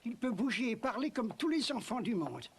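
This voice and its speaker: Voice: mid-range voice, very animated, fairly quick, slight background noise. An actor voicing a doting, anxious old carpenter father in a children's cartoon, fretful and full of exclamations. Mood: fond, earnest. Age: older. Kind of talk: dubbed children's cartoon acting.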